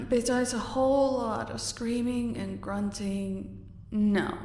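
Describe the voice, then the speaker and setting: A woman's voice: a little high, steady tone, slow, darkish tone. A woman playing a smug, sadistic crime enforcer in an audio roleplay, with a languid, dry delivery. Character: smug, sadistic female henchwoman, loyal enforcer. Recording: echoey room, far from mic.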